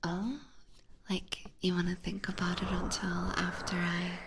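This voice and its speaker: A woman's voice: on the low side, level tone, a little slow, background noise. A woman streaming whispered ASMR roleplay in a playful, teasing, coy manner, close to a binaural microphone. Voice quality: breathy, whispery. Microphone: close binaural ear microphone.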